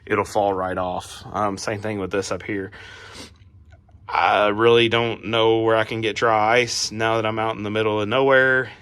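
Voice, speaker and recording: A man hosting a casual garage how-to vlog while working on a car, his voice a little raspy as he gets over a cold, talking in a laid-back, rambling way with plenty of fillers. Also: fairly low pitch, flat delivery, normal speed, fairly bright tone. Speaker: garage channel host.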